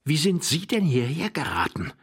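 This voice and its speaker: A man's voice: a little high, wildly swooping pitch, quick pace, husky, pitched above the performer's own voice, full character voice. A man voicing an irritable young man in an audiobook, hissing impatiently through gritted teeth. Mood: angry, impatient. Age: young adult. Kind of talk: audiobook character voice.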